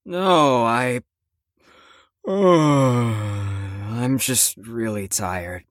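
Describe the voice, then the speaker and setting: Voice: mid-range voice, extremely slow, no echo, clean studio sound. An actor in an audio drama playing a stubborn, sarcastic young patient who is worn out from lack of sleep. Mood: weary, defensive. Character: flippant, exhausted, stubborn young patient called Storm.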